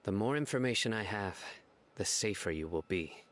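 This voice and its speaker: An actor playing a composed, grave young male investigator in a video game, speaking in a measured, formal way. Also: lowish voice, animated, a bit bright. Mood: firm, reassuring. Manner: measured, formal, matter-of-fact. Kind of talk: video game dialogue acting. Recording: game music behind the voice. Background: game music.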